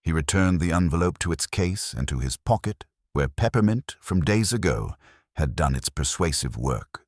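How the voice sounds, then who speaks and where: A man with an exceptionally low voice narrates a mystery novel in a close, dry recording. His delivery is measured and understated, yet the pitch moves with lively variation through each phrase. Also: no room sound. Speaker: audiobook narrator.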